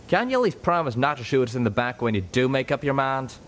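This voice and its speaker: A man's voice: medium pitch, very animated, fast talker, a little hiss or hum, voice pushed up, close to an everyday voice. A man voicing a brash male pegasus who talks in a blunt, folksy drawl, in an audiobook. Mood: grumbling.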